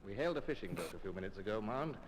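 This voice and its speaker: Actor as deep-voiced, weary naval commander. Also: male voice, expressive, close to an everyday voice. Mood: proud, kindly. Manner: halting, throat-clearing, formal, understated. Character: tired, proud, gruffly kind male British naval commander. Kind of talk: old-time radio drama acting. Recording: noisy old radio drama recording.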